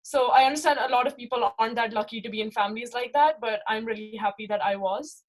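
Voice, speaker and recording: A woman's voice: on the high side, fast, rough recording. Young Pakistani woman, reflective and candid. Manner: reflective, with many fillers and rhetorical "right?". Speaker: interviewee. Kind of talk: casual personal account.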